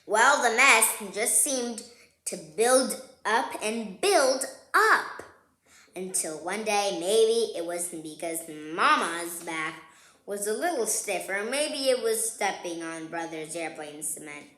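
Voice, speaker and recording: A woman reading a children's picture book aloud, playful and expressive, chatting to young listeners between lines. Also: medium pitch, takes it slowly. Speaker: read-aloud host. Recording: roomy, echoing sound.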